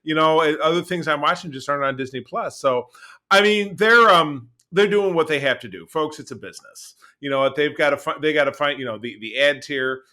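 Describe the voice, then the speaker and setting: Bright, forceful man chatting on a podcast. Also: fairly high pitch, a little fast. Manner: animated, emphatic, repeats phrases. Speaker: podcast co-host. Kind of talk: casual opinionated podcast chat.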